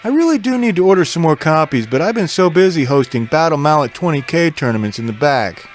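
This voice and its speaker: Man playing a high-voiced, animated game-shop owner. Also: fast talker, faint music behind, natural voice. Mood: friendly, chatty. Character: friendly adult male game-store owner, encouraging. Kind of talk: audio drama character dialogue.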